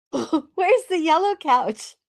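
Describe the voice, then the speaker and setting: A woman's voice: very high voice, lively intonation, drawn-out words, vocal fry, no room sound, clean studio sound. A woman who works as an event producer, chatting casually in an effusive, friendly way. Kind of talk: casual chat.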